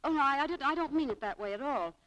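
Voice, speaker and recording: Young shopgirl, wheedling, spirited, touchy, bright forward voice, 1940s radio drama. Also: high voice, animated, fast talker, rough recording. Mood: eager, flattering. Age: young adult. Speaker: radio actress in a romantic comedy. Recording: vintage 1940s radio broadcast.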